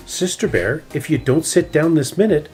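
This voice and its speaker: A man's voice: on the high side, animated, moderate pace, darkish tone, faint music behind, voice pushed up, close to an everyday voice. A man voicing a bossy, bragging young female bear cub with a scolding manner.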